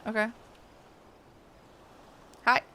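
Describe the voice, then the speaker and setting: Bright-voiced woman game streamer. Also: very slow, faint music behind, rough recording. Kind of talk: casual livestream chat and reactions.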